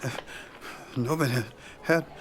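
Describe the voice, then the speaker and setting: An actor playing a shy, humble young man with a Southern US accent, halting and deferential, in a period drama. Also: medium pitch, a little hiss or hum, natural voice. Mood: nervous, humble.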